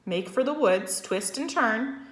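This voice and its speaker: A woman voicing a bossy female farm goose who talks fast and repeats her words, in an echoey room. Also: fairly high pitch, big pitch swings, a bit bright, pitched above the performer's own voice, heavily acted. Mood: urgent.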